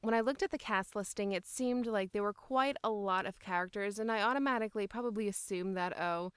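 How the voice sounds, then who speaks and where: A woman's voice: medium pitch, fairly quick, clear, resonant tone, no room sound, studio quality. A woman speaking as an interviewed voice actor, in casual, enthusiastic and emphatic conversation.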